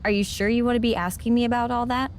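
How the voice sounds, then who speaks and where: A woman playing a proud, guarded veteran wrestler in a video game cutscene, with a knowing, deliberate delivery. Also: fairly high pitch, steady tone, slight background noise, close to an everyday voice. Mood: warning.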